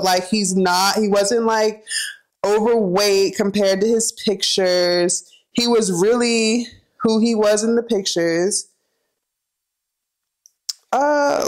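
A young woman talks as she cooks, gossiping casually and throwing in lots of filler words. She drifts between telling her story and narrating each step in the kitchen. Her voice sits at an ordinary middle pitch for a woman. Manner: chatty, gossipy, frequent "like" and "you know", laughs while talking; cooks while talking, narrating kitchen steps. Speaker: vlogger cooking and storytelling. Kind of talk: casual chatty storytelling while cooking.

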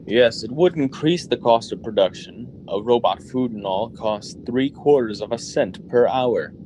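A man's voice: mid-range voice, animated, measured pace, mellow, slight background noise, close to an everyday voice, rough recording. A man acting a hearty, assertive, business-minded factory consul in a radio drama. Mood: hearty, boastful.

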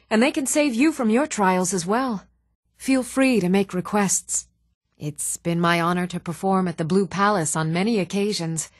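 An actress playing an even-toned townswoman in a fantasy video game, speaking scripted lines in a measured, matter-of-fact way. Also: medium pitch, no echo, clean studio sound, close to an everyday voice. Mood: composed, polite.

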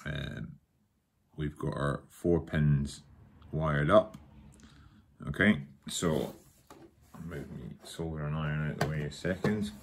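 A man's voice: a bass voice, slow, creaky, pauses often. A man giving a casual hands-on tutorial, murmuring unhurriedly as he concentrates on his handwork at a table at home. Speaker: hobbyist demonstrating a repair. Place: at a wooden table in a home room. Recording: faint background noise and clicking.

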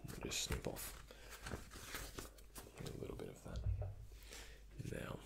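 A young man speaking in a breathy, hushed ASMR whisper, gently and unhurriedly, close to the microphone as in a doctor roleplay. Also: takes it slowly, background noise. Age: young adult. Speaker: ASMR creator playing a doctor. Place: indoors, close before a dark backdrop.

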